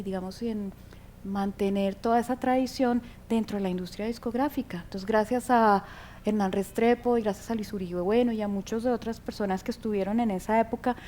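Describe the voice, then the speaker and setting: A woman's voice: steady tone, moderate pace, mellow. A woman with a Colombian accent giving an informal, explanatory public lecture, often checking in with short tag questions. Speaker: guest lecturer, music researcher. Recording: clear background noise with low rumble.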